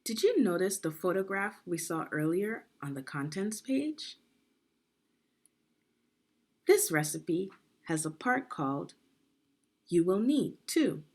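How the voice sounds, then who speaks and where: A woman with a lowish voice reads instructional text to young children. Her delivery is unhurried and deliberate, with lively rises and falls in pitch. She explains as a teacher does, pointing things out step by step. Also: breathy edge. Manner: deliberate, explanatory, checking in with questions. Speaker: reading teacher, narrator of a children's book read-aloud.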